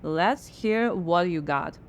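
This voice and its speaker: An actress playing a blocked woman playwright who answers with wry, deadpan sarcasm in a radio drama. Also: on the low side, takes it slowly, a little hiss or hum. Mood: sardonic. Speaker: writer.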